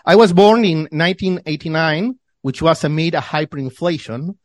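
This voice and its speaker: A man's voice: fairly high pitch, normal speed, no echo. Argentine man explaining in a dry close sound. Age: 30s. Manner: explanatory, with restarts and repeated words. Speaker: guest, financial newsletter founder. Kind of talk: podcast interview.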